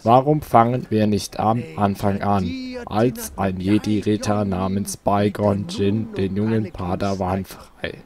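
A man voicing a kindly female storyteller and guardian of children from a video game, in a measured read-aloud way. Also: on the low side, fairly even intonation, a crowd in the background, close to an everyday voice. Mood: welcoming.

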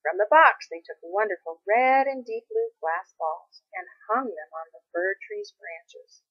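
Woman, children's storybook narration, expressive, medium pitch, dry and slightly muffled recording. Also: a little slow, breathy edge, no room sound. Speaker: storybook reader.

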